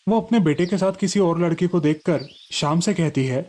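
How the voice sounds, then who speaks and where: A man's voice: fairly high pitch, brisk, darkish tone. A man narrating a scripted children's story as the storyteller.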